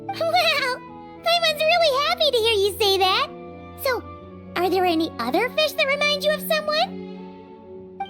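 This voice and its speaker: A woman voicing a tiny, bubbly childlike fairy companion in an anime video game, with a squeaky, perky delivery. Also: expressive, measured pace, pauses often. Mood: excited. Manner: perky, speaks of self in third person. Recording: music behind.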